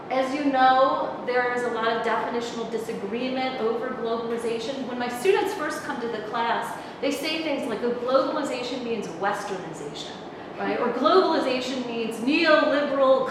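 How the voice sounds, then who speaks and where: A middle-aged woman lectures in a lively, conversational way, projecting her fairly high voice across a conference room with no microphone. She is picked up from a distance, so her voice rings with a long hall reverb over clear background noise. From United States. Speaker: university professor giving a talk. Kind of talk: public lecture. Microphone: far camera, no microphone.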